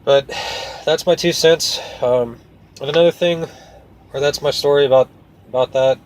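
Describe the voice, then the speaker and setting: A man's voice: on the high side, steady tone, moderate pace. A man who works as a truck driver tells a story casually, in a folksy, rambling and earnest way. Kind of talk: casual first-person storytelling to camera.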